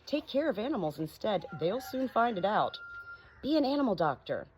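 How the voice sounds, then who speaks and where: A woman voicing a proud, bossy female parrot in a high, insistent, instructive voice for a storybook. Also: very animated, moderate pace, darkish tone, a little hiss or hum, close to an everyday voice. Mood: insistent, persuasive.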